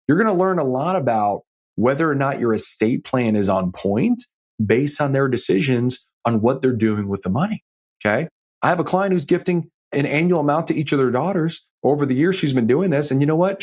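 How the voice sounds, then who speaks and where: A man with a lively, swinging pitch and a slightly husky voice hosts an advice podcast. He speaks as a financial advisor, informally and straight to the listener, in an easy conversational monologue. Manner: informal, direct address with frequent checking tags like "okay?" and "right?".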